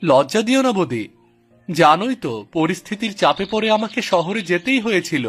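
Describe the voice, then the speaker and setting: Male actor as young village man, high-pitched, earnest, pleading, emphatic, cartoon drama, music behind. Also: lively intonation, moderate pace, fairly bright tone. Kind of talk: dramatized Bengali cartoon story dialogue.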